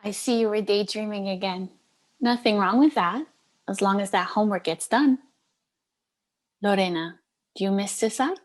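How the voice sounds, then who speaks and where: A woman's voice: medium pitch, normal speed, long pauses, lightly acted. A woman playing a caring but firm middle-aged Cuban aunt in a play table read, coaxing and mixing in Spanish endearments. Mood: gentle, nostalgic.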